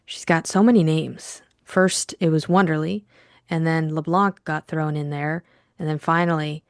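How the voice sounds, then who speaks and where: A woman's voice: low voice, steady tone, normal speed, no room sound, studio quality. A woman co-hosting a casual book discussion with informal, youthful phrasing.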